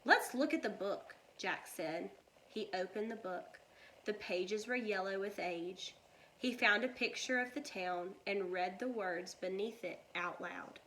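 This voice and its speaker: A woman reading a children's story aloud like a teacher, with deliberate, expressive delivery. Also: mid-range voice, a little hiss or hum, rough recording.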